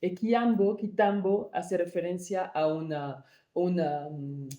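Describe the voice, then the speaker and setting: A woman from Congo, co-founder and director of an arts project, answering interview questions thoughtfully and pausing to search for words. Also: lowish voice, steady tone, mellow, roomy sound. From Democratic Republic of the Congo.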